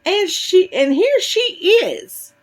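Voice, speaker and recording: A woman plays a tiny storybook bear cub with a squeaky, high-pitched voice. He is plaintive and childlike, crying out in upset. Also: sing-song, slow pace, heavily acted.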